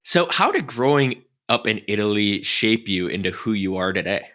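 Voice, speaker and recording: Bright-voiced upbeat podcast co-host. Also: male voice, on the low side, big pitch swings, normal speed. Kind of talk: hosting an interview. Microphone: headset on video call.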